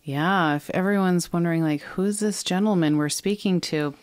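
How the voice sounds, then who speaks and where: A woman in her thirties with a Minnesota accent hosts a relaxed podcast chat. Her voice sits fairly low, and the recording is close and dry. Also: moderate pace, dry recording. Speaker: podcast co-host. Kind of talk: casual chat. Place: small home studio, indoors. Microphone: headphones and boom mic.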